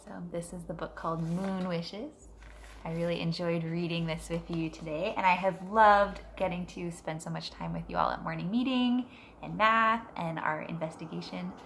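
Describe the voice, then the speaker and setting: A woman in her thirties, a teacher reading a picture book aloud gently and unhurriedly, drawing out words, at home. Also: fairly low pitch, fairly even intonation, moderate pace. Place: living room at home, on a couch. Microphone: device camera a short distance away. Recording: noticeable room background noise.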